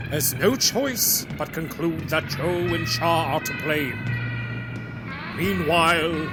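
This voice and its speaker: A man narrating a scripted radio drama in a deliberate, theatrical way. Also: fairly high pitch, poor audio. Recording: loud guitar music behind.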